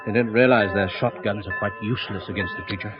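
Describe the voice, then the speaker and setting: An actor playing a grim, fatalistic companion in a 1970s British radio horror drama, speaking in a grave, insistent manner. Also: male voice, on the low side, steady tone, fast talker, close to an everyday voice. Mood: grave, firm. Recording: dull lo-fi radio recording, music behind.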